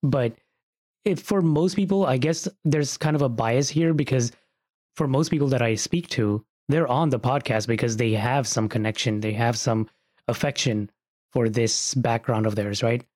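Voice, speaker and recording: A man's voice: medium pitch, moderate pace, a little mellow. A man hosting a podcast in a casual, informal chat style with his guest. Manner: informal, switches between English and Urdu. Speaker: podcast host.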